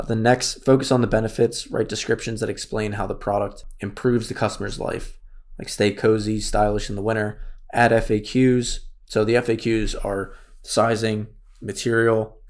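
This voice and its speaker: A man with a raspy voice, losing it, casually walking through an online store tutorial with many fillers. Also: fairly low pitch, flat delivery, normal speed. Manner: casual, thinks aloud with fillers. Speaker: course instructor. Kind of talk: screen-share tutorial walkthrough.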